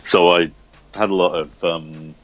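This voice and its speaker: A man with a very low voice talks casually in an interview as a comic writer. His delivery is halting, with words repeated as he goes. The sound is dull and lo-fi, captured on a phone. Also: steady tone, a bit bright, soft background music. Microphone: smartphone recorder.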